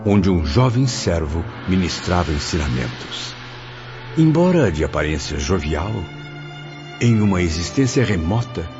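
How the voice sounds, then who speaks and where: Radio novel lead: male actor as low, animated, curious Brazilian spirit narrator, formal and reflective, muffled sound. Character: curious male spirit narrator recalling his afterlife journey. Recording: dull lo-fi audio over music.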